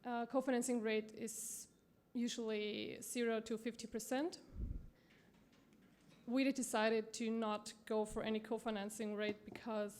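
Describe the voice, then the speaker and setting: Woman, Austrian accent, slightly high voice, presenting at a forum, hesitant with fillers. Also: level tone, a little mellow. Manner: halting, many fillers and self-corrections. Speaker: conference speaker from a promotional bank. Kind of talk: conference presentation.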